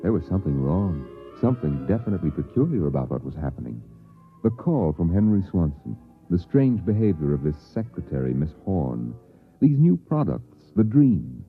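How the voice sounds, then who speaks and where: An actor playing a grave, deliberate narrator of an eerie tale, in dramatic old-time radio style. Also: male voice, low-pitched, sing-song. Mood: ominous. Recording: narrow-band vintage radio recording. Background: music.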